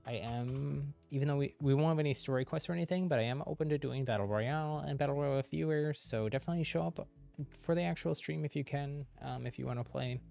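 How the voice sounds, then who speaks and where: A man hosting a game livestream, explaining things casually in a rambling, easygoing way with a gravelly voice. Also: on the high side, quick pace, warm tone, soft background music.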